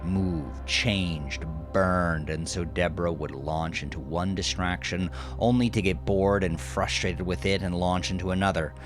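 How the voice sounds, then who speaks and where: A man narrating scripted horror fiction in a measured, literary, dramatic way, dropping at times into a hush. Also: low-pitched. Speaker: audiodrama narrator. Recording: music under the voice. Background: music.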